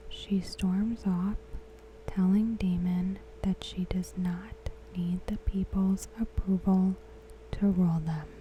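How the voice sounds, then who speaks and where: A woman softly whispering a scripted recap as an ASMR host, breathy, hushed and deliberate. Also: on the low side, a little hiss or hum, low-quality recording. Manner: measured, deliberate, hushed delivery.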